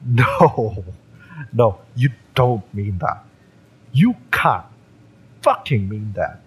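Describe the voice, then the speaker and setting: A man playing a fiery, lovesick young mercenary bodyguard in a romance audio roleplay, speaking with halting, wounded emotion. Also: medium pitch, huge pitch swings, husky, exaggerated voice. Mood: hurt disbelief.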